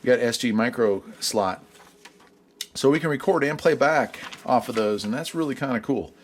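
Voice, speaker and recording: A man's voice: medium pitch, moderate pace, slight background noise. A man giving a casual product review in a folksy, easygoing way.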